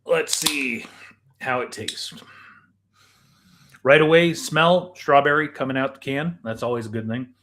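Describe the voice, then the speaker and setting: A man's voice: medium pitch, a little slow, long pauses, a bit bright, slight background noise. A man talking casually as the co-host of a chat podcast.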